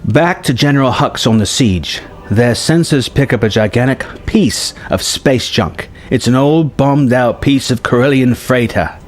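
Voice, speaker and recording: A man's voice: mid-range voice, animated, a little slow, hardly any pauses. A man reading out a scripted film story treatment as narrator, in present-tense prose. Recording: music behind the voice. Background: music.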